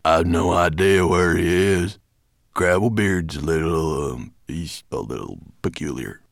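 An awestruck mountain dwarf from a storybook, played by a man. His voice is deep and gravelly, and he speaks in a halting, deliberate way. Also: no echo, clean studio sound. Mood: awed, hesitant.

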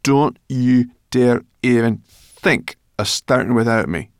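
Man putting on a high, bossy little brownie female. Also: sing-song, normal speed, gravelly, no echo, clean studio sound. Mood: bossy, excited. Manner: exclamatory, scolding. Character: small furry female brownie, bossy, cheeky, excitable.

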